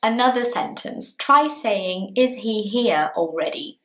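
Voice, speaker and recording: A woman from Brazil, a native Portuguese speaker with a Brazilian accent, teaching an English lesson in a slow, deliberate, explanatory manner. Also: mid-range voice, animated, fairly bright tone, roomy sound. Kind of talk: language lesson talking to viewers.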